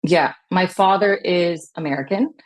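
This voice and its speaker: Low-voiced woman guest, relaxed and reflective. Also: a little slow. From Long Beach, California. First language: Hebrew. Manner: relaxed, reflective, many fillers. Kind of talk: casual conversational interview.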